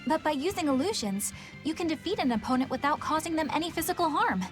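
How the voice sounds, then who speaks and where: An actress playing an earnest, innocent and polite young boy in a video game, with music clearly underneath. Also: high voice, lively intonation, fast. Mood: earnest, admiring. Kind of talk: English video game dub acting.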